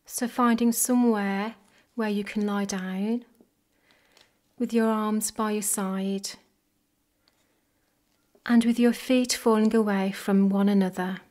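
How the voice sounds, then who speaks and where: A woman leading a guided body scan meditation in a soft, gentle, measured way. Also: mid-range voice, fairly even intonation. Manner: gentle, measured, unhurried phrasing with pauses.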